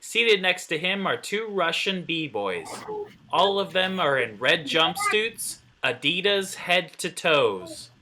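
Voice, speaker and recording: A man reads screenplay narration in a very bright, forceful voice, pitched a little high. He sounds like he is at an informal read-through, stumbling now and then. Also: very animated, slow, a little hiss or hum. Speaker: table reader of script narration and dialogue. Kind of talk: scripted narration read aloud.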